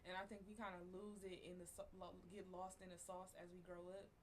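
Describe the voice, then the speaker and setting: A woman's voice: fairly low pitch, level tone, a little fast, slight background noise. A woman in her twenties co-hosting a podcast, talking loosely and rambling with many fillers. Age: 20s. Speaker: podcast co-host. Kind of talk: casual chat.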